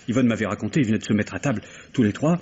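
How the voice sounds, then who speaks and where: An actor playing a distraught, bitter young French lover under suspicion in a 1950s-style radio drama, with hesitant, broken phrasing. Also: male voice, on the low side, very fast, darkish tone, close to an everyday voice. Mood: resentful. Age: young adult. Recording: dull, noisy vintage radio recording.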